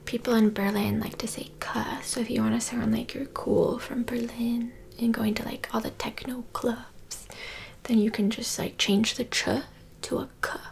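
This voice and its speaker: An American woman teaching German in a whispery, rambling way with deadpan jokes, in a quiet indoor setting. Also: fairly high pitch, normal speed, vocal fry. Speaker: language teacher. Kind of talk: whispered ASMR-style German lesson, joking. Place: indoors with books and a map.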